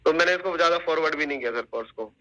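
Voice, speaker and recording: Bright, high-pitched man, deferential and halting. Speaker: caller making a complaint. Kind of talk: phone complaint, recounting an account. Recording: recorded phone call.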